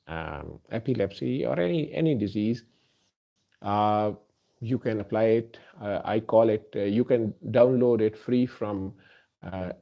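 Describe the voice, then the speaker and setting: Earnest urdu-native guy, low voice, lots of uh's, muffled lo-fi recording. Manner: earnest, halting, many fillers. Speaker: doctor and healer. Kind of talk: spoken address to listeners. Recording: dull lo-fi recording.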